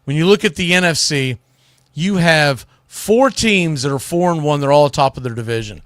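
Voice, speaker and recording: A male sports radio host with a slightly high voice, recorded close and dry. He gives casual, colloquial commentary with plenty of opinion, talking directly to the listener. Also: expressive, normal speed, a bit bright, no room sound. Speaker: sports talk show host.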